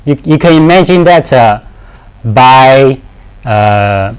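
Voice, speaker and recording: A man in his thirties presents technical product training at a slow pace. He speaks haltingly in simple, non-native phrasing, often repeating a word before he moves on. His voice sits at an ordinary male pitch. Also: lively intonation, smooth.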